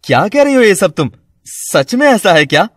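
पुरुष अभिनेता चिंतित, प्रेमी युवा छात्र, सूखी रिकॉर्डिंग. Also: male voice, high-pitched, big pitch swings, normal speed, dry recording, clean studio sound. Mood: earnest. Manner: earnest, concerned, reassuring. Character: devoted, worried young male college student in love. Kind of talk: cartoon story dialogue.

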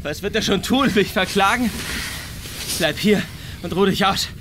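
An actor playing an agitated, defiant man with a secret, speaking haltingly in a German radio crime drama. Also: high-pitched, noisy background. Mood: defiant, angry.

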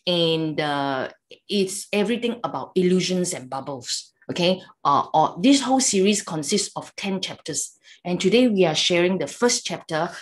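An older woman with a Malaysian English accent, native in Cantonese, giving an online Buddhist talk over a video call from a home room, chatty and halting, tagging lines with "okay" and "lah". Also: lowish voice. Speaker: Buddhist teacher. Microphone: video call webcam.

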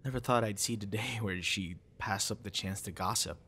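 A man playing a cute, teasing young boyfriend with a hidden sinister side in an ASMR audio roleplay, soft-spoken and casual. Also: fairly low pitch, normal speed, husky, exaggerated voice. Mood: casual, wry.

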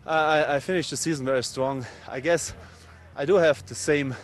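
A man from Austria, a ski racer giving relaxed, halting answers outdoors at a race finish. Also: fairly high pitch.

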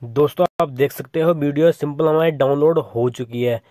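A man's voice: fairly high pitch, moderate pace, dry recording. A young man, giving step-by-step instructions as a tutorial channel host over a screen recording of his phone. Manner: steady step-by-step instructions, repeats "simple" often.

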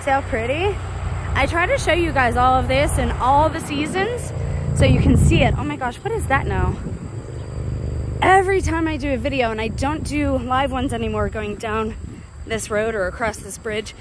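High-voiced American woman, noisy outdoor recording. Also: expressive, normal speed. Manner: chatty, digressive, confiding. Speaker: vlogger. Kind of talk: casual walk-and-talk monologue. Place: outdoors walking along a rural road. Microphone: handheld phone. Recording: clear outdoor roadside noise.

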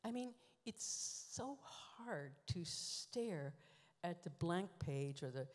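A woman telling dry, musing stories with deliberate pauses, performing a spoken-word monologue into a stand microphone on a large theater stage. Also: low voice, a little mellow. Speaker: performance artist reading her book on stage. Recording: live hall reverb.

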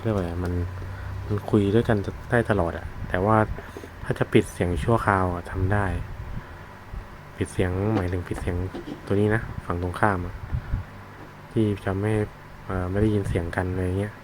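A man with a fairly low voice talks through a product demonstration in an informal, unscripted way. He explains step by step, often pausing to find the words. There is clear noise behind him, with vehicle sounds. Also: fairly even intonation. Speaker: product reviewer demonstrating a gadget.

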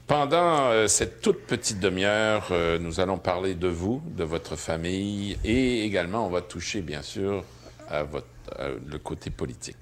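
A man's voice: low-pitched, animated, moderate pace, a little hiss or hum. A middle-aged man hosting a community television interview, asking questions in a formal, polite way. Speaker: TV interview host. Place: living room at home.

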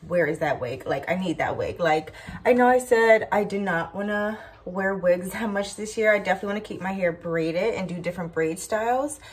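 A woman's voice: mid-range voice, slight background noise. A woman in her twenties chatting casually to her phone camera as a lifestyle vlogger, rambling and correcting herself as she goes. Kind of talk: casual vlog chat to camera. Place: bedroom indoors, later backyard outdoors.

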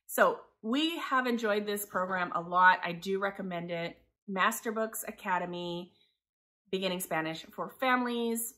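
A woman's voice: medium pitch, normal speed, bright, forceful tone. A woman in her forties from Taiwan, a homeschooling mother talking in a casual, rambling, conversational vlog style. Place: living room by a window, indoors.

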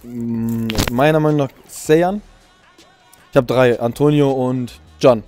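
A young man, a guest on a football show, giving short, relaxed answers in an indoor hall. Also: mid-range voice, unhurried, soft background music. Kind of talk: casual chat.

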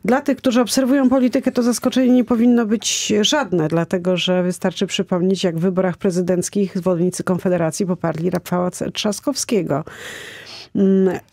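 Gravelly-voiced woman hosting radio. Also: on the low side, fairly even intonation, warm tone, dry recording. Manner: halting, restarts often. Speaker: radio host. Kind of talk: political interview. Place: radio studio. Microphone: studio mic with headphones.

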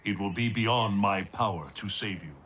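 Lowish, solemn, wise guardian god played by a man. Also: heavy background noise, close to an everyday voice. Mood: solemn, counseling. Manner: formal, stately, deliberate.

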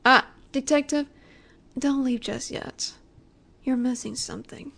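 Woman doing a flirty mafia boss lady roleplay, high pitched, really slow, coy and teasing w breathy asides. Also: fairly even intonation, fairly bright tone, heavily acted. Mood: coy, flirtatious. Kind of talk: roleplay audio drama.

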